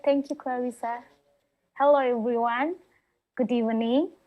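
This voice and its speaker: A woman formally introduces the next speaker at an online club meeting. She speaks slowly and evenly, with a fairly high voice, over a video call. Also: poor audio. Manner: measured, reads out the project details. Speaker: speech evaluator.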